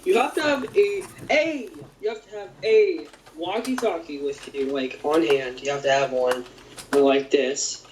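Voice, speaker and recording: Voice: medium pitch, measured pace. A person giving a casual demonstration, repeating words and swearing along the way. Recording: echoey, distant mic, clear noise.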